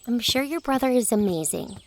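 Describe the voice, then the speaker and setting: A woman's voice: fairly high pitch, animated, brisk, darkish tone, natural voice. A woman playing a feisty, argumentative young girl who likes to tease, in a children's audio drama. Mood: teasing.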